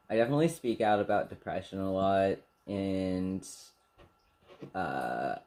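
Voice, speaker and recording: A soft-spoken young man co-hosting a casual get-to-know-you chat in a small bedroom, laughing often and speaking candidly. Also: deep voice. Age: young adult. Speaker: channel co-host.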